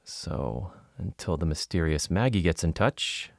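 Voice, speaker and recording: Casting: wry investigator-narrator, very low male voice, measured storytelling, close dry studio sound. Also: expressive, creaky, dry recording, heavily acted. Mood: intent, uneasy. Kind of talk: scripted first-person podcast narration.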